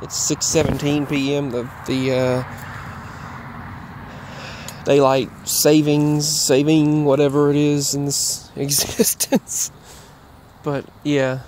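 Halting man over outdoor traffic noise. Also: fairly high pitch, flat delivery, a little mellow. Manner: halting, meandering, trailing off and repeating himself. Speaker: vlogger. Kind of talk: casual rambling update vlog while walking. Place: outdoors, walking in a grassy yard near roads. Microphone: earbuds microphone with a phone. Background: passing traffic.

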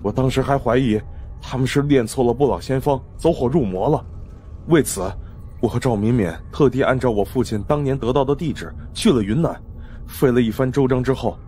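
A man's voice: medium pitch, brisk, warm tone, a little hiss or hum, pitched above the performer's own voice, close to an everyday voice. A man voicing a defeated young cult leader in an audio story, weary and resigned as he explains himself. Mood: weary confession.

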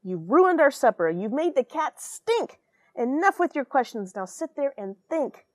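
Woman playing exasperated storybook parent, American, high voice, stern commands, emphatic scolding. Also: big pitch swings, unhurried, darkish tone, dry recording, studio quality. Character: frustrated, frazzled parents scolding their young daughter.

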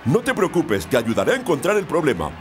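A bumbling, good-natured cartoon sleuth, with a highish voice that swoops wildly. He is eager and prone to hesitant muttering, with music playing behind him. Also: wildly swooping pitch, fast. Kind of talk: animated cartoon dub.

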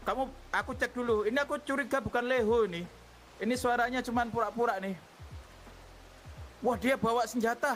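A man's voice: very high-pitched, a little fast, pauses often, a bit bright, slight background noise. A man roleplaying a gang boss in an online game, teasing and grilling another player in a bossy way. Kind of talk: in-character roleplay chat.

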